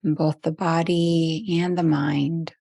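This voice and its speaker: Low, slow woman guiding a meditation. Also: flat delivery, gravelly, no room sound, studio quality. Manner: gentle, soothing, long unhurried pauses. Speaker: meditation teacher. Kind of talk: guided meditation.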